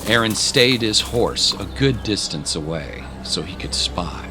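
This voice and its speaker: Slow, low-voiced man narrating dramatically. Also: animated. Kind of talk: scripted dramatic story narration. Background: loud music.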